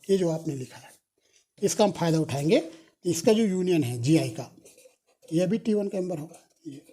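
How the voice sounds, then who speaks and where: A woman lecturing on mathematics, slowly dictating each proof step and repeating key phrases, correcting herself when she slips. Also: on the low side, lively intonation, warm tone, breathy edge. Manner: slow, repetitive dictation of proof steps; self-correcting, apologetic on slips. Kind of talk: recorded university lecture, mixing Hindi and English.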